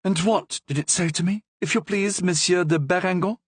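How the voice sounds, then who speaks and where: A man voicing an excitable young queen who gives commands and cries out with delight in a very high voice. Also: moderate pace, breathy edge, no echo, clean studio sound. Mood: commanding. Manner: shrill delighted exclamations.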